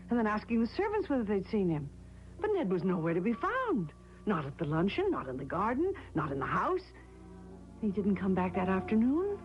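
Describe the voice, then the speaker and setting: An actress playing an anxious, inquisitive, devoted wife in an old radio drama, expressive and earnest. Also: female voice, medium pitch, close to an everyday voice. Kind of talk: old-time radio drama acting with first-person narration. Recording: dull old radio with music.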